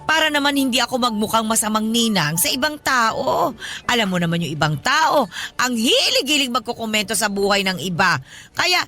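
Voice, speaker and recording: A woman's voice: fairly high pitch, very animated, normal speed, pushed and bright. A woman playing a lonely, demanding old godmother who talks in a pushy, coaxing way in a radio drama. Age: older.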